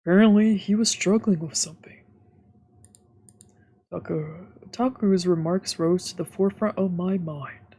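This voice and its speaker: A woman's voice: lowish voice, steady tone, unhurried, pauses often, mellow, breathy edge. A woman streamer reading visual novel narration aloud, with casual asides. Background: faint music.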